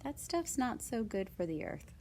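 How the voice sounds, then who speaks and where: A woman's voice: fairly high pitch, sing-song, moderate pace, darkish tone, a little hiss or hum. A woman reading non-fiction picture books aloud to young children, adding casual asides, simple explanations and questions to the listeners.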